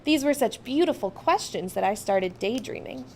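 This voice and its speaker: A woman with a fairly high voice narrates a children's storybook in the first person. She reads expressively, putting clear emphasis on key words. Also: expressive, normal speed, darkish tone, a little hiss or hum. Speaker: storybook reader.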